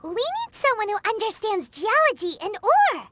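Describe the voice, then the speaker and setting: A woman voicing a tiny, bossy, childlike female companion sprite in a video game, squeaky and emphatic. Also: wildly swooping pitch, normal speed, noisy background, heavily acted. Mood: eager. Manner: emphatic, refers to self in third person. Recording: music behind the voice.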